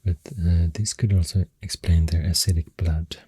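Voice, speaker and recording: An ASMR creator with a Swedish accent, speaking very softly and often near a whisper, thinking aloud hesitantly into a close microphone. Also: deep voice, fairly even intonation, normal speed, no room sound. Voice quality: very soft, breathy, often dropping to a whisper. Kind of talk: soft-spoken ASMR, rambling science facts.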